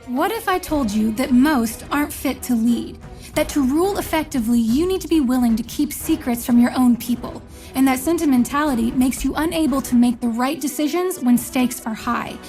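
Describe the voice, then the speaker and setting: A woman playing a solemn, commanding visionary mentor in a fantasy game, speaking in a measured, portentous, rhetorical way. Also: high-pitched, a little mellow, soft background music. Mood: solemn, persuasive. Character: solemn, commanding female visionary mentor recruiting chosen leaders. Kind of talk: scripted fantasy game voice lines.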